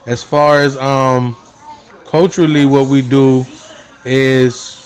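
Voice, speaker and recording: Man, earnest, unhurried, lots of fillers, mid pitch. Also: level tone, low-quality recording. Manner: earnest, unhurried, frequent fillers like "you know" and "um". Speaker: interviewee, spiritual practitioner. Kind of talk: casual conversational explanation.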